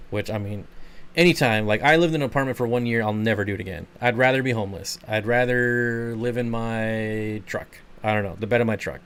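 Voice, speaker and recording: A man's voice: mid-range voice, clear, resonant tone. A man hosting a casual livestream, answering viewer comments in a laid-back, rambling way and chuckling often. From Michigan. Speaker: channel host.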